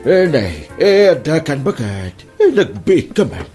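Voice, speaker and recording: A man's voice: a little high, very animated, a little slow, loud music behind the voice. A man playing a teasing, easily amused husband with an Ilocano accent in a comedy audio drama. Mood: puzzled.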